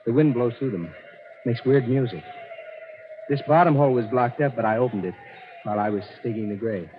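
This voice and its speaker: Actor as calm desert man, muffled old radio. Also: mid-range voice, fairly quick, close to an everyday voice. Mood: calm, explaining. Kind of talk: 1940s radio drama acting. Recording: muffled, noisy 1940s radio broadcast.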